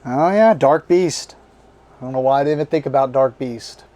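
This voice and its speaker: A man hosting a casual card game unboxing, his voice strained and tiring as he reads card names aloud in short halting bursts. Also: medium pitch, mellow. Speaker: board game channel host.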